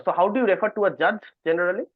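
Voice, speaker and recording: A man's voice: sits high, rapid-fire, no echo. A man reading quiz questions aloud as quizmaster and responding to contestants. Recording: narrow phone-like call audio.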